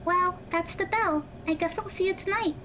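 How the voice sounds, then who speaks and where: A woman's voice: very high voice, normal speed, noisy background. A woman playing a kind teenage schoolgirl in an audio drama, with a girlish voice and a polite, earnest manner. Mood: friendly, cheerful.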